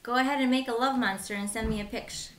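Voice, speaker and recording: A woman in her thirties reading a picture book aloud as a teacher, with simple, deliberate phrasing for young children and short asides. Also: a little high, fairly even intonation, slight background noise. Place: small room at home, indoors.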